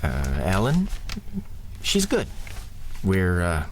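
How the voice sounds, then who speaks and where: Earnest, animated police detective. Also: male voice, low-pitched, very slow, slight background noise, heavily acted. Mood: low-key, friendly. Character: earnest, fair-minded male police detective. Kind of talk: 1930s-style radio drama acting.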